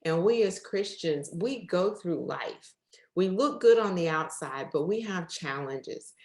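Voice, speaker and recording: Lower-voiced woman host, super enthusiastic faith podcast vibe, echoey room. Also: animated, unhurried, darkish tone. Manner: enthusiastic, preacherly.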